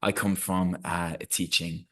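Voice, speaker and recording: An Irish man in his thirties giving an energetic, encouraging webinar presentation over a video call. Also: low-pitched, measured pace. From Ireland. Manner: energetic, encouraging, with frequent restarts.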